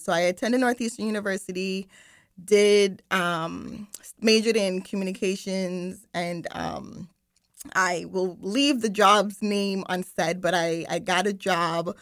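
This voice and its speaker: A woman's voice: on the low side, fairly bright tone, no echo. A young woman from Barbados, guest on a studio radio interview, chatty with many ums and laughs. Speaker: guest, marketing director. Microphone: studio microphone.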